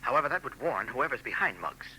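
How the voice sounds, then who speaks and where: An actor playing a confident newspaper reporter hero in a 1940s radio play, calm and assured, on an old broadcast with faint noise. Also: male voice, mid-range voice, fast talker, low-quality recording.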